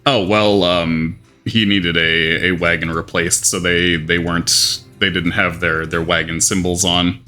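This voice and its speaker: A very low-voiced man runs a tabletop game on stream. He narrates with frequent restarts, and his throat sounds hoarse and strained. Soft music plays in the background. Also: level tone. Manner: halting, many restarts while describing scenes. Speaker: game master.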